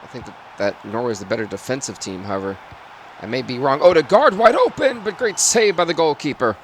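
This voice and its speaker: Raspy male football game streamer. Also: mid-range voice, expressive, a little fast, slight background noise. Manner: play-by-play sportscaster phrasing. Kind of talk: live football game commentary and stream chat.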